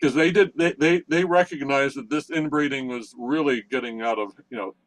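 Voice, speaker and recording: A man's voice: medium pitch, a little fast, no echo, poor audio. Older guy from central pennsylvania rambling through a story, keeps restarting, dry close sound. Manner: rambling, many restarts, chuckles through stories. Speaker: participant in a technical session. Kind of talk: casual storytelling in a group chat.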